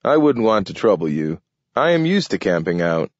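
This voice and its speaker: An easygoing adult male census taker chats in a friendly, relaxed way, as a man reads him aloud. His voice sits a little above the reader's own, on a dull, dry recording. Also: on the low side, no echo. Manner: relaxed, friendly, quick to grin.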